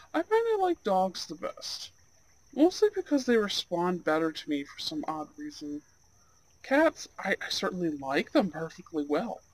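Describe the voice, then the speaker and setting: A performer acting as a charming young Goth gentleman in an ASMR date roleplay. He talks very slowly and softly at a middling pitch, with courteous, slightly old-fashioned phrasing and a gentle manner toward an unheard listener. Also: no echo, rough recording. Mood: playful, wistful.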